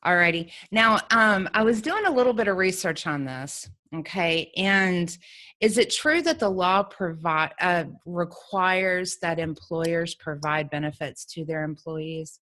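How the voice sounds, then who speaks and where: A woman's voice: on the low side, expressive, measured pace, pushed and bright. A woman hosts a talk show. She speaks in a conversational interviewing style. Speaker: show host.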